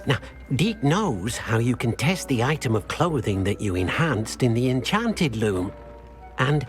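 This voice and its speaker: An actor playing a timid, kindly house-elf servant in a video game, hesitant and deferential, speaking of himself in the third person. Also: male voice, medium pitch, big pitch swings, a little slow. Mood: hesitant, earnest. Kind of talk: video game character dialogue. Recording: music behind the voice.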